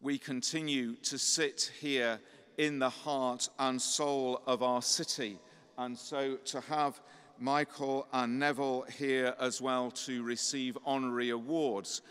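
A middle-aged clergyman, his voice a little croaky, giving a measured, courteous welcome address from a lectern in a large cathedral. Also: mid-range voice, little pitch movement. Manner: measured, courteous, gently humorous. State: slightly croaky voice. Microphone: lectern microphone. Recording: long cathedral reverb.

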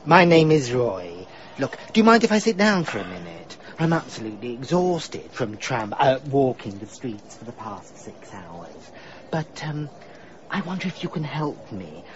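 A man's voice: medium pitch, very animated, normal speed, muffled recording, close to an everyday voice. An actor playing a polite, babbling, fussy PR man in a radio drama. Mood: awkward, chatty. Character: chatty, tactless, harmless male PR executive.

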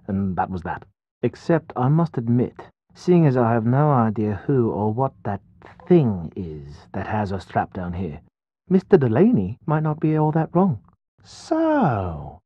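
A rueful young man in a video game, a trapped groom who blames himself. His fairly high voice swings widely as he tells his tale, in a close, dry, slightly dull recording. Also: no room sound. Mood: wry, fond. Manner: expressive, rueful, self-deprecating. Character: trapped, self-reproaching bridegroom, chatty prankster. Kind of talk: video game voice acting, storytelling dialogue.

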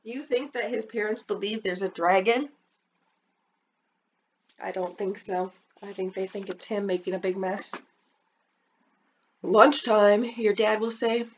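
A preschool teacher reading a picture book aloud to children, stopping often to ask questions about the pictures and pitching her voice up for the characters' lines. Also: fairly even intonation, a little slow, pauses often, highs cut off, a little hiss or hum.

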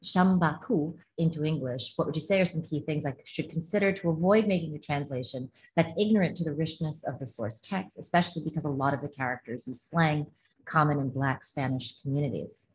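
Woman translator, very deep voice, thoughtful panel answers, frequent ums, laptop call from home. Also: brisk, a little mellow, a little hiss or hum, rough recording. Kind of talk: online panel discussion, reading audience questions. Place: home living room indoors. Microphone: laptop video call.

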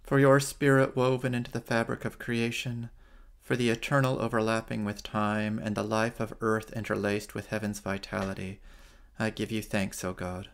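Brief: American man in his forties, priest leading evening prayer from home over a webcam, gravelly voice, slow and measured. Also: on the low side, level tone. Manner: slow, measured liturgical reading. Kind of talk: reflective homily and night prayer. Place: living room at home, indoors at night. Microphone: webcam on a video stream.

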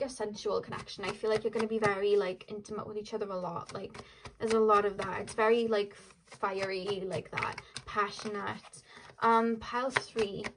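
A woman's voice: on the high side, background noise. Chatty Welsh woman reading tarot. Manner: chatty, rambling, many fillers and "like"s. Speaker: tarot reader. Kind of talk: casual tarot reading to camera.

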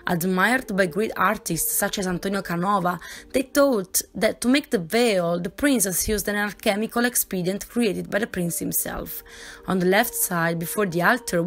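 Woman narrating a museum tour video. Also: medium pitch. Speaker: voice-over narrator. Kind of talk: scripted narration.

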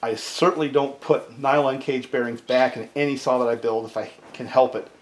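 A man's voice: medium pitch, fairly even intonation, brisk. A middle-aged man casually explaining repair steps at his workbench, often asking "right?" as he talks, recorded by a camera mic in a cluttered home workshop. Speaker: chainsaw repair video host. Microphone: camera mic on a tripod, speaker at the workbench. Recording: echoey workshop with background noise.

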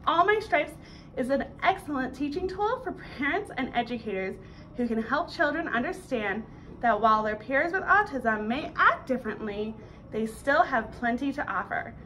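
A woman's voice: sits high, lively intonation, normal speed, sharp tone, low-quality recording. A young woman on a school's staff, presenting and reading a children's book aloud. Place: small school room, indoors. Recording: faint background noise in room.

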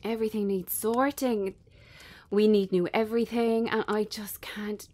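A woman playing a weary, sharp-tongued bartender in her thirties in a naturalistic drama reading, halting and conversational. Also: mid-range voice, little pitch movement, close to an everyday voice. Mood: frustrated, venting.

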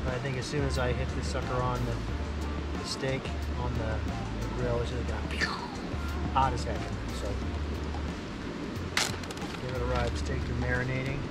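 A man's voice: mid-range voice, flat delivery, moderate pace, long pauses, over loud music. A man in his forties filming an outdoor camping vlog, narrating and coaching with enthusiasm at a lake and campsite. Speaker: vlogger. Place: outdoors at a forest lakeside and campsite.

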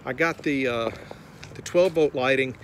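A man in his forties rambles through a casual walkthrough, pausing often on ums and uhs as he explains his work. His voice sits at an ordinary middle pitch. It's caught on a phone held at arm's length, with clear noise in the background. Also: a bit bright. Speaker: DIY renovator. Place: inside a trailer, then outdoors in a yard. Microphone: handheld phone camera at arm's length. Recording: noisy phone recording.

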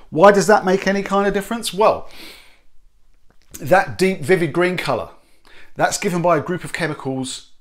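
A man, explaining nutrition to camera in an animated, emphatic way. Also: a little high. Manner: animated, emphatic, gestures with hands. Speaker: nutrition presenter. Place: home studio room. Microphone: close to camera, medium shot.

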